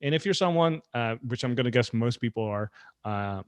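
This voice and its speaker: A man's voice: medium pitch, animated, fairly quick, clean tone, no room sound. A man from the Netherlands co-hosting a podcast, chatting casually with plenty of fillers. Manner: conversational, loose, with many fillers. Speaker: podcast co-host.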